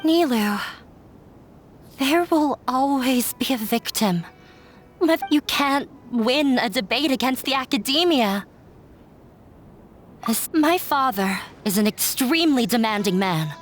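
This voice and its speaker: High-voiced, downcast runaway student girl. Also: animated, slow, pauses often, slight background noise. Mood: dejected. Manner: hesitant, apologetic. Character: downcast, self-blaming young woman runaway student. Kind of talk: anime-style game voice acting. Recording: faint music behind the voice.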